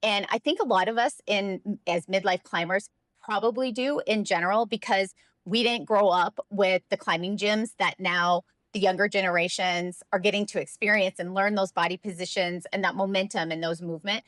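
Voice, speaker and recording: A woman's voice: mid-range voice, fairly even intonation, moderate pace, fairly bright tone. A woman in her fifties talking to camera in a casual, encouraging and self-deprecating way. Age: 50s. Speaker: climbing vlogger.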